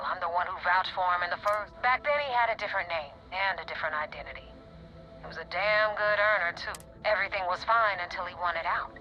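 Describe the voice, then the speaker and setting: A tough space pirate boss from a video game. She is a woman with a mid-pitched voice who gives orders with cold, sardonic menace. She sounds ruthless and used to being obeyed, with music clearly playing underneath. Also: pushed and bright, lo-fi sound. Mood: bitter, vengeful. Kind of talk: video game dialogue acting.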